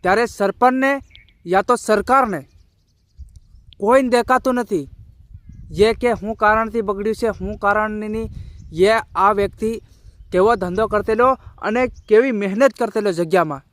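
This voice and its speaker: High-pitched man, halting informal commentary. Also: fairly bright tone, no echo. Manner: halting, repetitive, colloquial. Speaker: video creator and community commentator. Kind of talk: informal social media commentary addressed to viewers.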